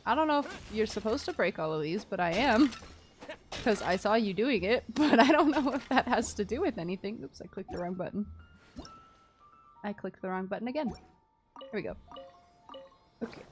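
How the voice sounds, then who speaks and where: A woman livestreaming a game, chatting casually in a playful, teasing, giggly and expressive way. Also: mid-range voice, normal speed, pauses often, faint music behind. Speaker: livestream host. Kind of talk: casual game-stream chat.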